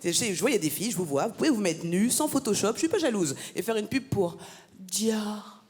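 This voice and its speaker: A woman's voice: medium pitch, animated, brisk, a little mellow. A woman from France performing a stand-up comedy set on a theatre stage, teasing the crowd with punchy banter. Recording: live gala, long hall reverb.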